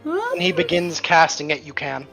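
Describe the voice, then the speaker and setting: A man's voice: fairly high pitch, huge pitch swings, normal speed, creaky, sharp tone. A man chatting casually as a player in a tabletop roleplaying game. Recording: dry, close sound with muffled band, faint music. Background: faint background music.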